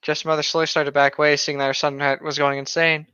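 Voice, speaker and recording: A man's voice: a little high, little pitch movement, quick pace, sharp tone, clean tone, dry recording. A man co-hosting a casual read-along, reading story passages and joking, in slightly muffled audio. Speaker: co-host reading a story aloud.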